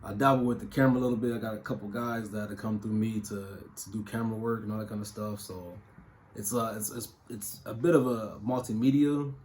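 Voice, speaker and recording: A man's voice: lowish voice, steady tone, fast, a little mellow, slight background noise. A man in his thirties from Ohio, a music producer answering interview questions in a loose, casual way with plenty of fillers and slang. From Toledo, Ohio. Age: 30s.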